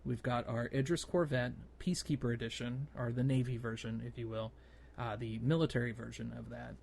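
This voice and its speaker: A man's voice: mid-range voice, flat delivery, fairly quick, gravelly, darkish tone. Man chatting on a noisy gaming podcast. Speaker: podcast host. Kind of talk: casual gaming podcast chat.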